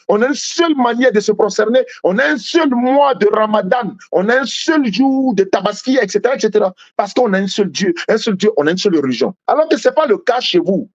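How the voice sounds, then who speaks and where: Insistent high-voiced man in heated debate. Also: lo-fi sound. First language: Malinke. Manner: insistent, repeats points, interrupts.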